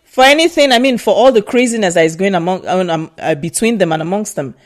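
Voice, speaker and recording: Animated Nigerian woman vlogger. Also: medium pitch, quick pace. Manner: emphatic and animated, repeats herself for stress. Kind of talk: casual opinion vlog.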